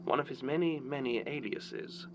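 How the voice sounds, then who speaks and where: A man playing a grave storyteller reading eerie testimony in an audio drama, measured, ominous and dramatic. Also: mid-range voice, natural voice. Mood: grave, dry. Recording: noisy, humming tape-style recording.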